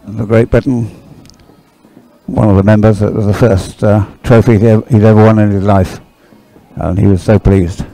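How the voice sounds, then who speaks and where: A man's voice: lowish voice, steady tone, normal speed, gravelly, dark tone, poor audio. A man doing live sports commentary in a chatty, hesitant, rambling way.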